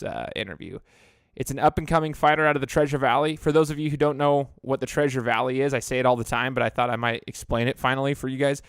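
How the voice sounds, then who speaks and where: Chatty podcast host, dry close mic. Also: male voice, mid-range voice, clear, resonant tone, no room sound. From Idaho. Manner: chatty, rambling with restarts. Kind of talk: casual interview.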